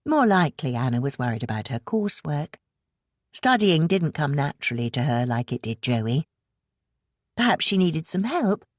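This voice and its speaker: A woman's voice: low-pitched, breathy edge, no room sound, clean studio sound. A woman reading a suspense audiobook as narrator, telling the story expressively and dramatically. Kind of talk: scripted suspense fiction narration.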